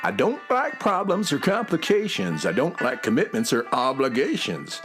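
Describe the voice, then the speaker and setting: A man's voice: mid-range voice, wildly swooping pitch, moderate pace, over music. Man playing a carefree, swooping folksy hobo. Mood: jaunty, sing-song. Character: carefree hobo constellation, dashing scruffy male drifter. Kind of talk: children's radio musical acting.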